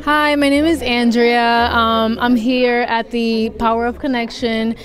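A woman's voice: fairly high pitch, level tone, a little slow. A young woman giving a brief supportive testimonial into a handheld mic at an event. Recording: crowd chatter behind.

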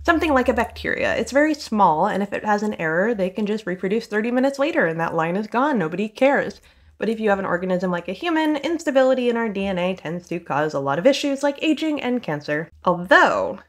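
A woman in her thirties giving an informal science explainer to camera, matter-of-fact with wry, deadpan asides. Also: medium pitch.

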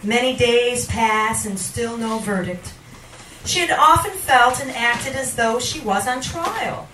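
A middle-aged woman reading poetry live in a theatrical, deliberate manner into a handheld microphone in a small bar room. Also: fairly high pitch, bright, forceful tone, faint music behind. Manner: theatrical, slow and deliberate when reciting. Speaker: poet, featured reader. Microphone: handheld microphone, captured by a camera in the room. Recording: roomy, noisy bar recording from a distance.

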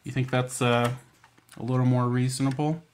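A man reads picture books aloud as a children's storytime host. His pitch is average, and he drops in playful, casual asides in simple phrasing. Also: level tone, moderate pace, slight background noise.

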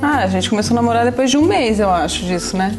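Relaxed, teasing Brazilian woman guest. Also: a little high, fast talker. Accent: Brazilian Portuguese. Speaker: interview guest. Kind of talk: casual chat. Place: small TV studio set with table. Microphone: lapel. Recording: music underneath.

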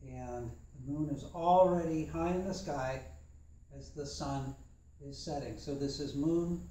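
A young man teaching a tai chi class in a dojo while he demonstrates, talking in a relaxed, thoughtful way. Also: mid-range voice, slight background noise. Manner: relaxed, thoughtful, chatty with fillers. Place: large empty dojo with mat floor. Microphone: far camera. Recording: echoey room from a distance.

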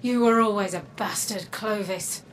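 An actress playing a weary, resolute robot woman in a video game, speaking in an earnest, measured, solemn way. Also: lowish voice, slight background noise, close to an everyday voice. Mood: defiant. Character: weary, resolute robot woman, a guarded sister from dark future. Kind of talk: dramatic video game acting. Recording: game music behind the voice. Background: game soundtrack music.

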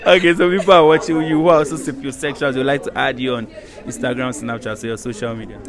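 A man's voice: mid-range voice, fairly even intonation, fast talker, crowd noise. A young Ghanaian man with a Ghanaian accent hosting a teasing outdoor quiz interview at night, laughing often and slipping into Pidgin. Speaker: street interviewer host. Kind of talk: playful campus quiz interview. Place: outdoor rooftop terrace at night. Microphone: handheld mic.

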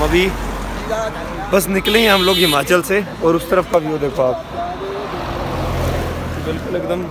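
A young man with a slightly raised voice chats brightly to his viewers while filming himself on a phone held at arm's length. He stands by a road outdoors, and wind and traffic noise come through clearly. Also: a little high. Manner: chatty, upbeat, addressing viewers directly. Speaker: vlogger. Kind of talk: casual travel vlog narration. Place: outdoors by a roadside, cold evening.

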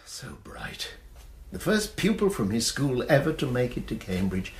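An actor playing an elderly, formal college master in a British radio drama. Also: male voice, mid-range voice, wildly swooping pitch, measured pace, a little hiss or hum. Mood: grieving. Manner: formal, speechmaking.

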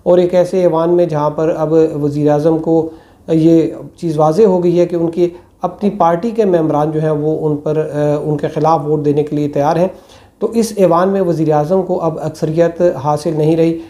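A man, giving political commentary to camera in a small room on a lapel mic, measured and full of hesitations. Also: a little high, little pitch movement, mellow. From Pakistan. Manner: measured, many hesitations and fillers. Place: small indoor room with plain walls.

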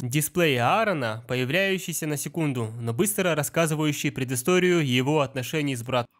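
A man's voice: on the high side, fairly quick, music in the background. A man delivering scripted film commentary as the narrator of a video essay.

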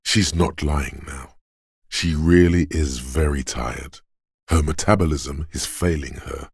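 Brooding inner voice of the body, extremely low, very raspy, grave, deliberate, theatrical game narration. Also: male voice, no echo, clean studio sound, heavily acted. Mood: grave, terse. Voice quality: very deep, gravelly, husky. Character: Endurance, brooding inner voice of a weary detective's body. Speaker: voice actor. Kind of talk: scripted game narration in second person.

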